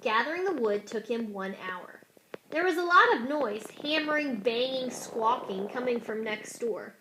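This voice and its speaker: A woman's voice: fairly high pitch, expressive, normal speed, background noise. A woman reading a children's storybook aloud expressively, like a teacher.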